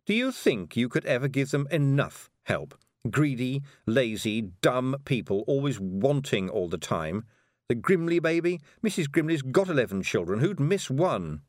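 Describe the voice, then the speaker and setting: A man's voice: mid-range voice, sing-song, normal speed, dry recording, studio quality, pitched above the performer's own voice, natural voice. A man voicing a troubled, resentful young witch girl in a storybook reading. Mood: scornful, bitter.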